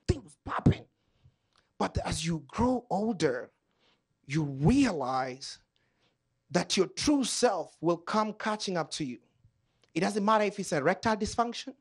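Kenyan comic guy on stage, real high husky voice, bouncy and loud, riffing w the crowd. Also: unhurried, pauses often. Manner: loud, animated, playful with the crowd. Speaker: stand-up comedian. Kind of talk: stand-up comedy with crowd work. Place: comedy club stage.

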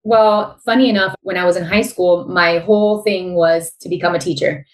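A woman's voice: fairly low pitch, normal speed, roomy sound, poor audio. Candid woman with a Miami accent. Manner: open, candid storytelling. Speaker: guest. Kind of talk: casual interview chat.

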